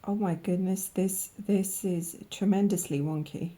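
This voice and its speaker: A woman with a croaky voice from a cold, slowly musing aloud in a soft-spoken way as she tries out paints, sometimes dropping to a whisper. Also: mid-range voice, steady tone. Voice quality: croaky, gravelly. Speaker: art vlogger. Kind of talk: casual voice-over while swatching paints.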